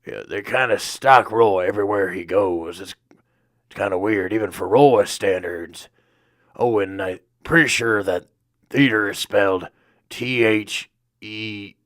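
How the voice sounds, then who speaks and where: A man puts on a dim oddball hero from a comic space audio drama. He talks low and slowly, rambling deadpan in the third person. The sound is close and dry. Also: no echo. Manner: rambling, deadpan, refers to himself by name.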